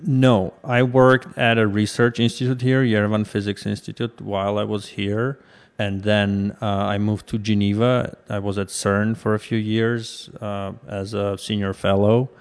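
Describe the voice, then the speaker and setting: Man, low voice, thoughtful. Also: flat delivery, unhurried, clear, resonant tone. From Armenia. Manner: thoughtful, hesitant with fillers and restarts. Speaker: startup co-founder, guest. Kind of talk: conversational interview answers.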